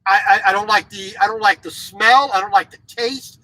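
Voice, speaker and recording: High bright-voiced trucker, blunt and repetitive. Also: male voice, steady tone, moderate pace, rough recording. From grew up in Japan as a military child. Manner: blunt, repeats words and restarts, opinionated. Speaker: truck driver vlogger. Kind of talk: casual monologue to camera. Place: truck sleeper cab, small indoor space.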